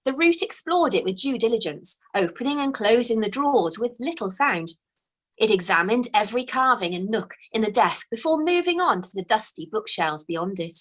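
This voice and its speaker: A woman reads a chapter of her own fantasy story aloud for young listeners. Her voice sits in a middle pitch. She keeps the telling slow and expressive, dropping to a hush for the suspenseful parts. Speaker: author reading her book aloud. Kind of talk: storybook read-aloud narration.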